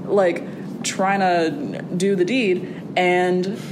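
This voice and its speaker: A young woman, a college student, talking casually as an interview guest in a wry, animated way, with fillers and restarts. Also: very slow. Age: young adult. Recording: audible background noise with a low rumble.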